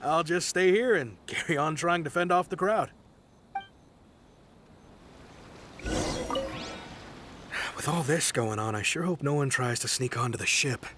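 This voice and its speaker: A man's voice: fairly high pitch, lively intonation, measured pace, background noise. A man playing an easygoing, chatty young crewman from a ship in a video game. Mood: good-natured.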